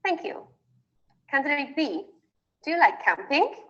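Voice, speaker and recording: Woman examiner with a lively, lifting voice. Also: high-pitched, muffled recording. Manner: formal, measured, rising on each question. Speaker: mock exam examiner. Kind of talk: reading scripted exam prompts.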